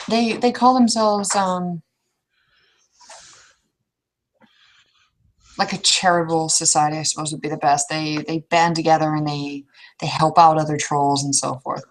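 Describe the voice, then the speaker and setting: A woman's voice: sits low, normal speed, poor audio. A woman playing an opinionated, experienced troll mentor in an improvised roleplay, speaking bluntly and informally as she advises. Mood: measured, explaining. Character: opinionated, world-wary elder troll mentor, advising a newcomer. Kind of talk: improvised in-character roleplay dialogue.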